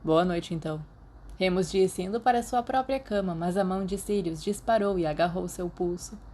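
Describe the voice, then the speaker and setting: A woman with a Brazilian Portuguese accent narrating fanfiction, calm in the story and chatty in her notes. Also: medium pitch, brisk, slight background noise. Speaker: podfic reader.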